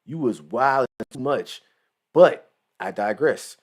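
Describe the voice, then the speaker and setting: Male host, late 20s, casual slangy commentary, rambling and animated. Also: mid-range voice, slow.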